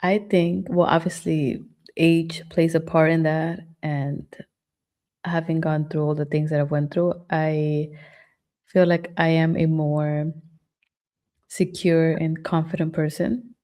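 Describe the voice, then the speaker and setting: A woman's voice: sits low, little pitch movement, slow pace, mellow. A woman chatting candidly as a podcast guest, reflective and open about her past relationships. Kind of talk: casual chat.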